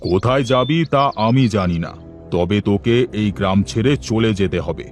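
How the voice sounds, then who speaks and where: Cartoon villain village headman, played by a man: lowish voice, commanding, menacing, unbending, music underneath. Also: moderate pace, close to an everyday voice. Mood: threatening. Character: stern, bullying village headman, threatening and unbending.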